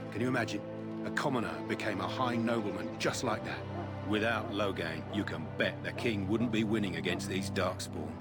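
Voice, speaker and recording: A man's voice: fairly low pitch, a little fast, close to an everyday voice. A man voicing a blunt, chatty army guard in a fantasy video game. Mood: proud, incredulous. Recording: game music behind.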